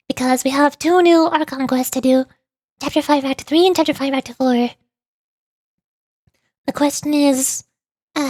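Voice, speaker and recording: A woman streamer chatting casually about a video game in a rambling, playfully exasperated way, often halting and repeating words. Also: high voice, dry recording. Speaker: streamer, VTuber. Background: background music.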